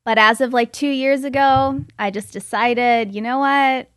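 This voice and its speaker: A woman's voice: sits high, steady tone, a little slow, fairly bright tone, clear, resonant tone, no room sound. A woman from Belgium telling personal stories as an interview guest, in an informal, chatty way sprinkled with "like". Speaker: guest, model and entrepreneur. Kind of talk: casual interview storytelling.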